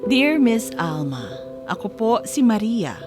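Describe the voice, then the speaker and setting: A woman's voice: fairly high pitch, big pitch swings, slow, music in the background. A woman playing a homesick, devoted Filipino mother who narrates her own story in an audio drama. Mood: earnest.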